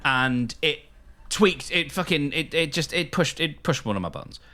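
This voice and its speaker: A man co-hosting a podcast in casual comedic chat, swearing freely, with halting, stop-start storytelling. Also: fairly high pitch, expressive, sharp tone. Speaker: podcast co-host.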